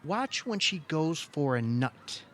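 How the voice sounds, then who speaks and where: An actor playing an obsessive, aggrieved younger brother in a comedic audio play, exasperated and insistent. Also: male voice, a little high, very animated, takes it slowly, a little hiss or hum. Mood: brooding, conspiratorial. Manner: exasperated, insistent, leading questions like a cross-examination. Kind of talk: comedic audio theater dialogue.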